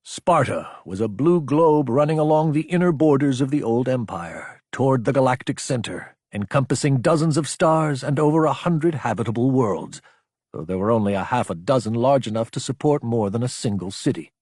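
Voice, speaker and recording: A man narrating sci-fi fiction as an audiobook, with a measured, serious, dramatic storytelling delivery. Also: medium pitch, lively intonation, a little mellow, no echo, studio quality.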